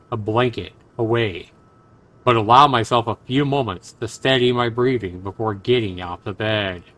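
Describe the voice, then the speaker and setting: A man's voice: medium pitch, a bit bright. A man livestreaming a game, mixing commentary with dramatic reading aloud as host. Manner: profane, exclamatory reactions.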